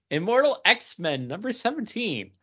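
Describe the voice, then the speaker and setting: A man's voice: high-pitched, sharp tone, breathy edge, muffled recording. A man talking casually about comics as a podcast co-host.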